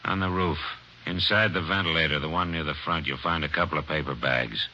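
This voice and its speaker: An actor playing a gruff, curt ex-convict burglar in a vintage radio crime drama, on a dull old radio recording. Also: male voice, a bass voice, fairly even intonation, fairly quick, pushed and bright, slight background noise, heavily acted. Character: sullen male ex-con burglar, gruff and curt. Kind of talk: 1940s radio acting.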